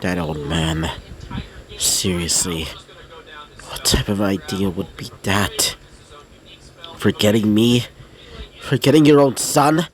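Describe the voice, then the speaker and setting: A man voicing a brooding teenage boy who speaks in a low, sullen, sighing way. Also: expressive, takes it slowly, long pauses. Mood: bitter. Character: Izuku, brooding teenage boy, bitter toward father.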